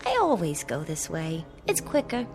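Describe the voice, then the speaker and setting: An actress playing a self-assured but wary nightclub performer in a radio play, with a wry, skeptical manner. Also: female voice, sits low, huge pitch swings, moderate pace, creaky, darkish tone, faint music behind, exaggerated voice. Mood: brisk, dismissive.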